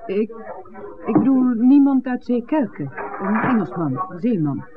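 An actress playing a guarded, edgy village barmaid in a 1960s radio play, hesitant and somewhat curt. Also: female voice, fairly high pitch, very animated, a little slow, noisy background. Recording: tinny vintage radio recording, murmuring background.

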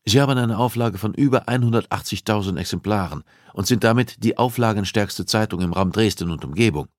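A male narrator plays a cold male criminal profiler in an audiobook. He speaks with commanding, deliberate insistence at a raised pitch. The sound is dry and free of room. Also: no echo, lightly acted. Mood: cool, insistent. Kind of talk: audiobook dialogue acting.

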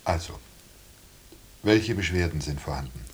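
A deep-voiced, probing hospital doctor. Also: male voice, expressive, a little slow, husky, full character voice. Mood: attentive, probing. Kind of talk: radio play dialogue.

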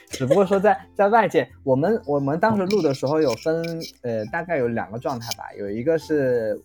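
A man in a casual group chat as a voice actor guest, speaking in hesitant, halting phrases. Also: fairly high pitch, expressive, a little mellow, soft background music.

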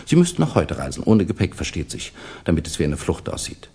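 An actor playing a suave, insinuating Russian official in a 1960s German radio drama, speaking with deliberate, false courtesy. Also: male voice, sits low, sing-song, dark tone, husky, full character voice. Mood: smooth, insinuating.

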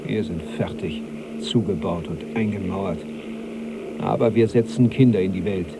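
A restless, weary husband in a radio drama. He speaks in a fairly low voice with brooding understatement. A clear ambient drone and tape noise run behind him. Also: moderate pace. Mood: resigned, brooding.

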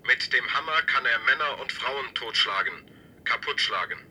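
An actor playing a possessive, menacing camp inmate in a radio drama, reciting short simple sentences in a rigid, repetitive way. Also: male voice, mid-range voice, animated, normal speed, bright, forceful tone, slight background noise.

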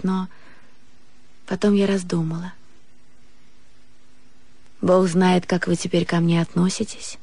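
Nervous young gentlewoman, slow, dry. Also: lowish voice, no echo. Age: young adult. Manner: earnest, hesitant, emotional. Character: nervous, yearning young gentlewoman, earnest and eager. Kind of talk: Russian radio literary drama.

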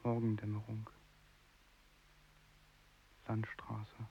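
An actor playing a numb, lost soldier in a radio drama, speaking haltingly and tonelessly in isolated words. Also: male voice, fairly low pitch, completely flat delivery. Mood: desolate. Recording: dull tape with hiss.

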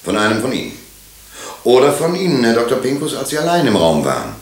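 An actor playing a courteous, methodical police inspector who questions suspects formally in a radio drama. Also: male voice, mid-range voice, very animated. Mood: grave, formal. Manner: formal, probing, deliberate. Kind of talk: German radio drama acting. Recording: roomy, echoing radio drama studio.

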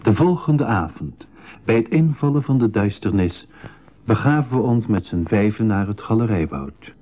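A man acting as an apprehensive safari member who tells his adventure in a radio drama in measured, literary language. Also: fairly low pitch, lively intonation, warm tone, highs cut off. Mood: measured narrating. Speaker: narrator and member of the expedition. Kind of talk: scripted radio drama narration.

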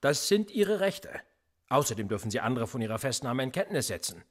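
An actor playing a cynical, sly police interrogator in a radio play, with an insinuating, coolly threatening manner. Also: male voice, mid-range voice, very animated, brisk. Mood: mocking, pressing. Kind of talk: naturalistic German radio play acting.

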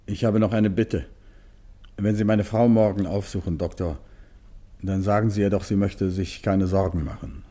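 An actor playing a grave, courteous gentleman under arrest, formal, restrained and resigned, in a German radio crime drama. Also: low-pitched, fairly even intonation, normal speed.

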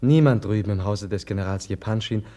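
Man playing a gentle, husky young prince, mellow. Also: on the low side, fairly quick, lightly acted. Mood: mildly puzzled. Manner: mild, hesitant, puzzled. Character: gentle, bewildered, soft-hearted young prince. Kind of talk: German radio drama acting.

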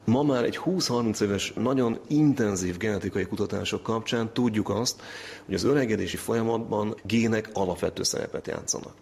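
Male scientist interviewee, low creaky voice, formal technical explanation, recorded with long reverb. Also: darkish tone. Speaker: geneticist interviewee.

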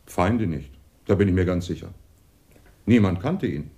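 An actor playing a melancholy male witness in a German radio crime drama, reflective and halting. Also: low voice, lively intonation, dark tone. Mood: grave, reflective. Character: male neighbour of a dead man, melancholy police witness.

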